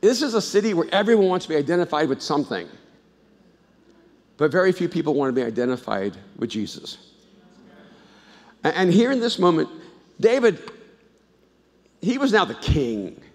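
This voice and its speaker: High-pitched pastor preaching in a reverberant hall. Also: male voice, big pitch swings, moderate pace, pauses often. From El Salvador. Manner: swings from loud emphatic bursts to low intimate tones; conversational storytelling with repeated restarts. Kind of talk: sermon.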